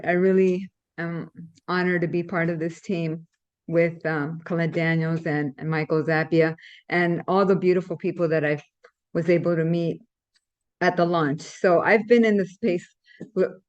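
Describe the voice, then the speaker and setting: A woman from Ecuador, a team member speaking casually and a little hesitantly on a video call. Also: on the low side. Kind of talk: casual introduction. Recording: dull lo-fi video call audio.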